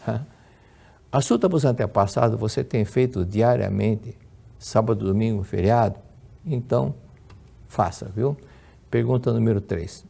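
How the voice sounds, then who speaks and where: Gravelly, mellow-voiced older Brazilian man. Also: fairly low pitch, unhurried, pauses often. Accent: Brazilian Portuguese. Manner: warm storytelling, repeats and restarts words. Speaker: religious lecturer. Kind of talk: religious teaching with Q&A.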